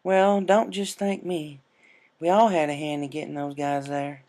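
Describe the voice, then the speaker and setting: Man putting on a folksy farm pony mare, pitched up. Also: level tone, measured pace, a little mellow. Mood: affectionate. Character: folksy, plain-spoken female farm pony, warm and encouraging.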